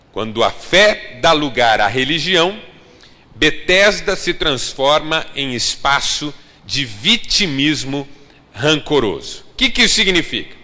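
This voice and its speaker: A man preaches to a congregation and speaks to them directly. His voice sits a little high and sounds bright and forceful. A long reverb from the large hall trails each phrase. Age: 30s. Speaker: pastor. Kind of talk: sermon.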